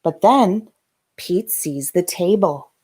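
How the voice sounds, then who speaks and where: A woman narrating a children's bedtime storybook with gentle, dramatic emphasis for young listeners. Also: fairly low pitch, expressive, takes it slowly, husky. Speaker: host of a bedtime story read-aloud channel.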